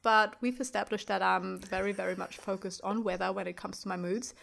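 A woman's voice: mid-range voice, steady tone, brisk, vocal fry, fairly bright tone. Woman co-host, a bit nasal with a cold. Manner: wry, self-deprecating, easygoing. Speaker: podcast co-host. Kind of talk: casual chat.

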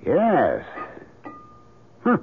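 A man's voice: fairly high pitch, wildly swooping pitch, drawn-out words, a little hiss or hum, heavily acted. An American man acting in a radio drama as an opinionated, curious married painter. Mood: intrigued, insistent. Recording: tinny old radio broadcast.

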